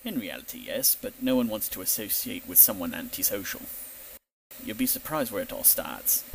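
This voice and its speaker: A man playing a dutiful, fatherly older butler in an audio drama, formal, measured and gently wry. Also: on the low side, animated, gravelly, a little hiss or hum, rough recording. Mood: wry, earnest.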